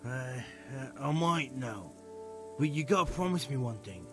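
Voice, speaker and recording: A man's voice: medium pitch, expressive, normal speed, music in the background, lightly acted. A man playing a hesitant, earnest medieval stablehand in video game dialogue, folksy and loyal. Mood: confiding, helpful. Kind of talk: conversational video game acting.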